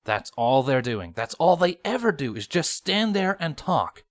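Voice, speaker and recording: A man's voice: a little high, very animated, a little slow, no echo, clean studio sound, pitched above the performer's own voice. A man voicing a wisecracking, grumbling pony sergeant in a story, sarcastic, whiny and emphatic. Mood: exasperated, defensive.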